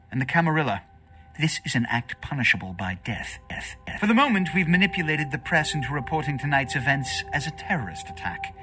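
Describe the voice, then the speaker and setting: Video game vampire prince: pompous, scheming, bright forceful voice, highly animated pitch. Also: male voice. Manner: pompous, formal, grandiloquent. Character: imperious, theatrical vampire prince, scheming and haughty. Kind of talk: dramatic video game voice acting.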